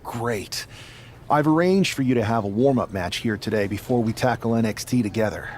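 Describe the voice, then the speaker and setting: A man's voice: medium pitch, moderate pace, a little mellow, slight background noise, lightly acted. A man playing an earnest, casual pro wrestler in a video game, talking wryly and persuasively to a best friend. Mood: upbeat, determined. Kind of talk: cinematic game dialogue, casual.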